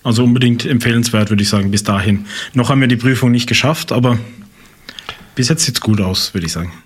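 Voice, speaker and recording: A man co-hosting a podcast in a relaxed, conversational way. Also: mid-range voice, quick pace, slight background noise. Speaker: podcast co-host. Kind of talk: casual podcast chat. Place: on site at a training course.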